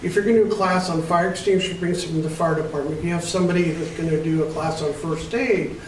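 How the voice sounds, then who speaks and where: A man's voice: fairly high pitch, steady tone, fast, a little hiss or hum, rough recording. A man answering audience questions on a panel as an emergency preparedness trainer, explaining at length with many restarts.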